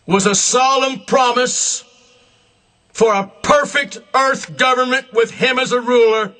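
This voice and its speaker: Very high-pitched man, slow solemn proclamation. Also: bright, forceful tone, poor audio. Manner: grandiose, emphatic proclamation in deliberate short phrases. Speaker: self-proclaimed religious leader. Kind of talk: solemn scripted public religious address.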